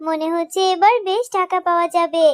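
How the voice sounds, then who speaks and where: Woman doing fussy caring mama fox in a kids cartoon, high voice, bit of music behind. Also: fairly quick. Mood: worried. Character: caring, fussing mother fox, a village housewife. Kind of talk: children's cartoon dialogue.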